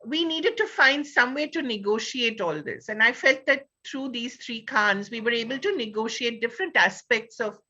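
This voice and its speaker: A woman with an Indian accent discusses ideas as a panel guest. Her voice is sharp and bright, and she leans on key words while searching for phrasing. The audio is slightly dull and lo-fi. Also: on the high side, moderate pace, hardly any pauses. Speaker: panelist and author.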